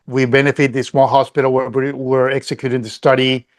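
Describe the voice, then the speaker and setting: A man's voice: mid-range voice, level tone, fairly quick. A middle-aged man from Colombia, a company CEO answering interview questions earnestly, often restarting and repeating words.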